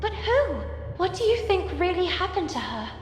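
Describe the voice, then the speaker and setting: An actress playing an earnest, excitable young girl, speaking dramatically in an animated game. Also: extremely high pitch, moderate pace, roomy sound, big-room sound, soft background music. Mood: anxious curiosity.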